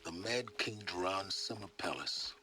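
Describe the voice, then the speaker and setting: An actor voicing a knowing, self-assured male schemer in a video game, speaking in a measured, erudite, faintly theatrical way. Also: sits low, sharp tone, exaggerated voice, low-quality recording. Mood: grand, knowing. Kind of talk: video game dialogue acting.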